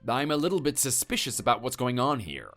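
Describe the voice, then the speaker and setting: A stern, menacing husband, played by a man in a skit, lecturing and threatening his neighbor. His voice swings widely in pitch as he scolds. Faint music plays under him. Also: sing-song, fairly quick, fairly bright tone.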